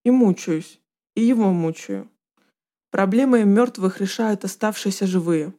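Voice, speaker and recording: Female narrator for a confessional first-person book, mid-range voice with a warm, mellow timbre. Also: mid-range voice, measured pace. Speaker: narrator reading a book aloud.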